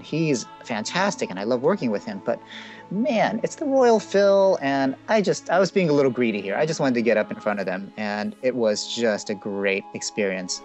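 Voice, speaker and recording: A man being interviewed as a composer, speaking casually and thoughtfully, hedging with lots of "sort of" and "um". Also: medium pitch. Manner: thoughtful, hedging, with many fillers.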